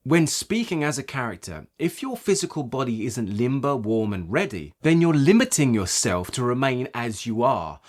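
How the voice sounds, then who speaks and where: Expressive male voice coach with animated intonation. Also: mid-range voice. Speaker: voice coach presenting a tutorial. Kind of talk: instructional video explanation.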